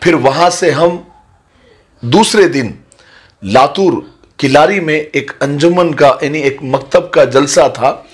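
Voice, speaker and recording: Hoarse male Islamic storyteller. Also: fairly high pitch, takes it slowly. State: hoarse from days of speaking. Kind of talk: religious storytelling to an online audience.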